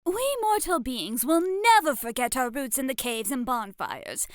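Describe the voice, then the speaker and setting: A woman's voice: high-pitched, big pitch swings, unhurried, dry recording, studio quality. A woman playing a playful, eccentric, elegant flirtation tutor in an ASMR roleplay, with coy, theatrical, grandly formal phrasing. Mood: proud, instructive.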